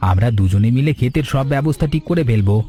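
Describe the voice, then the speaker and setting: A man's voice: mid-range voice, expressive, fast, mellow, lightly acted. A man playing an earnest, dutiful and caring village farmer in a cartoon, speaking respectfully. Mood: earnest reassurance. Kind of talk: cartoon dialogue acting. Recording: faint music under voice. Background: faint music.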